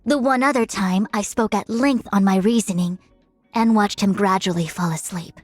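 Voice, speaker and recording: A woman's voice: medium pitch, normal speed, no echo, close to an everyday voice. A woman playing a proud young female diviner in a video game, speaking in composed, formal, lofty phrases. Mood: wry, exasperated. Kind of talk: scripted game character voice lines. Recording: studio-dry voice over music. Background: music.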